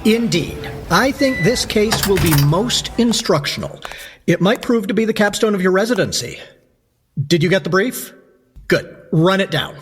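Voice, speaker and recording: Brief: male actor as a condescending alienist, formal diction, higher voice, reverberant. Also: animated, normal speed, fairly bright tone. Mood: clinical. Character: pompous male asylum doctor, cold and clinical. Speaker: asylum alienist.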